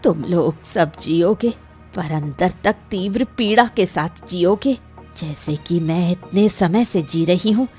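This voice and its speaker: A woman's voice: on the low side, a little slow, dark tone, faint music behind, natural voice. A woman voicing a bitter, grieving old village woman shunned as a witch, in a melodramatic cartoon story with an aggrieved, emphatic delivery. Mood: cold, unforgiving.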